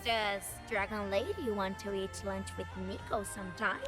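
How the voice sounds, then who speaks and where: A woman's voice: medium pitch, fairly even intonation, moderate pace, natural voice. A woman voicing a naive, jovial, childlike shapeshifter from a video game, playful, in simple broken phrases. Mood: curious, delighted. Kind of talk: video game character voice lines. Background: music.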